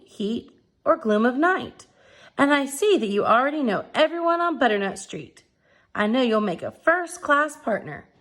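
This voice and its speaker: A woman's voice: fairly high pitch, a bit bright, heavily acted. A woman voicing a kind, hopeful female mail carrier, earnest and affectionate, in a children's read-aloud.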